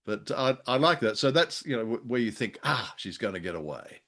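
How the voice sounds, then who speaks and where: A man's voice: medium pitch, lively intonation, fairly quick, bright, forceful tone. A man co-hosting a conversational discussion show, speaking hesitantly with wry humour. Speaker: podcast co-host. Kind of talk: conversational discussion of old radio drama.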